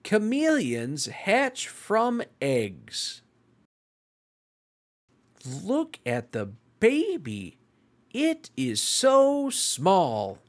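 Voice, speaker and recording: Casting: children's read-along host, extremely slow and deliberate, with big swooping, exclamatory intonation. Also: medium pitch, huge pitch swings. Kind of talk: slow animated read-aloud for young children.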